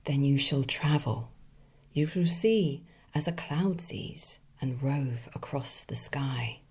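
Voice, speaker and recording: A woman voicing a faceless, hooded Angel of Death in a story reading, solemn and slow, in a breathy whisper. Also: extremely low pitch, exaggerated voice. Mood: solemn, decreeing. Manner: slow, grave, hushed. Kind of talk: dramatic short story reading.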